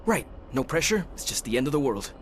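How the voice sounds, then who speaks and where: Casting: youthful pessimistic joker voiced by a man, lively intonation, casual sarcastic game banter, slight background noise. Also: mid-range voice, quick pace, close to an everyday voice. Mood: nervous, sarcastic. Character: nervous, wisecracking young male superhero, slangy and pessimistic. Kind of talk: video game voice acting.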